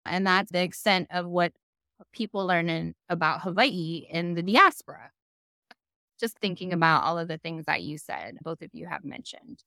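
A woman's voice: fairly low pitch, normal speed, gravelly, sharp tone, no echo. A woman moderating a panel discussion in a conversational way, posing questions to guests.

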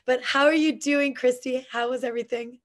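A woman hosting a casual interview podcast, her voice a little raspy from allergies, upbeat and quick to laugh. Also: sits high, steady tone. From Canada. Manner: upbeat, laughs often, chatty. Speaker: podcast host.

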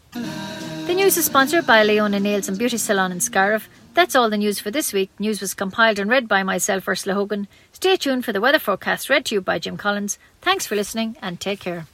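A woman's voice: mid-range voice, a bit bright. A woman with an Irish accent reads scripted local news as a community radio newsreader.

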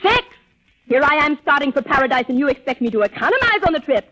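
An actress playing a passionate, proud, temperamental opera diva in a theatrical, imperious 1930s radio drama style. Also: female voice, high voice, big pitch swings, fast, a bit bright, a little hiss or hum. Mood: excited, defiant. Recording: muffled old 1930s radio recording.

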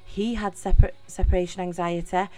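A woman's voice: fairly low pitch, steady tone, normal speed, dark tone, soft background music, low-quality recording. A young woman with a Mancunian accent hosting her own podcast, talking in a chatty, rambling way with many fillers and restarts. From Manchester, England. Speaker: podcast host. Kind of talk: casual solo podcast monologue.

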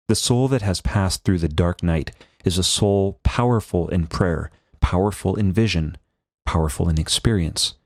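A man reading a devotional book aloud as a priest, in a solemn, measured and formal manner. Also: deep voice, darkish tone, no echo, clean studio sound. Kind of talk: scripted devotional narration, scripture reading.

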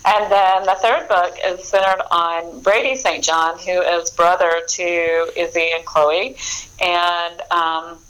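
A woman's voice: lowish voice, steady tone, takes it slowly, fairly bright tone, rough recording. A woman author talking casually about her books in an interview, rambling with fillers and frequent laughs. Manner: rambling, lots of ums and restarts, laughs often. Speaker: author guest.

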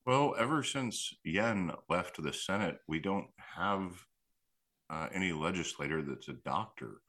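Deep-voiced guy hosting a chat, bright tone, lots of um and uh pauses. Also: expressive. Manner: measured and thoughtful, with many "um" and "uh" pauses. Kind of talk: casual discussion.

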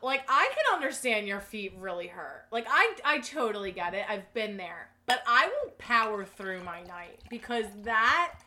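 A young woman co-hosting a casual chat, emphatic and expressive, quick to say she's grossed out. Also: a little high. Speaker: podcast co-host.

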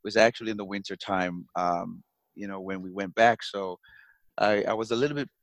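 A man's voice: lowish voice, steady tone, moderate pace, no room sound. Jamaican guy in his 40s, rambly, lots of ums, dry close sound. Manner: rambling, frequent ums and restarts. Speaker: interview guest, husband. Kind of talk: casual storytelling.

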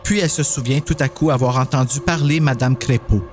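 A man's voice: medium pitch, fairly quick, darkish tone, faint music behind. A man giving a scripted narration of a horror story.

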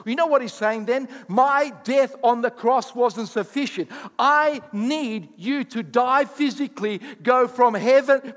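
A pastor delivering a Sunday sermon in a high voice, in English, which is his second language. He builds to emphatic rising bursts and drops into quick asides. The reverb trails off long, as in a church hall. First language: not English.

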